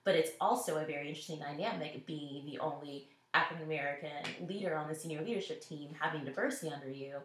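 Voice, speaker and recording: A woman's voice: deep voice, fast, hardly any pauses. A woman from Nashville answering interview questions in an earnest, reflective way, often quoting what others said. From Nashville, Tennessee. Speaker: interview guest, school network executive. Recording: roomy echo.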